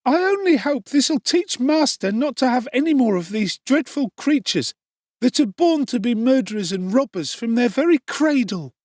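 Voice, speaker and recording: A man playing a shrill, screaming servant girl. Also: very animated, moderate pace, a little mellow, no echo, clean studio sound, heavily acted. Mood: shrill fuss. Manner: screaming, scolding. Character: shrill, violent young woman servant.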